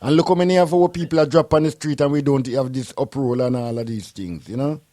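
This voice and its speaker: A man's voice: mid-range voice, steady tone, quick pace, warm tone, no room sound, studio quality. A man from Jamaica with a Jamaican accent, hosting a casual radio talk show in a loose, rambling way. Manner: laid-back, rambling, peppers speech with "you know". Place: radio studio.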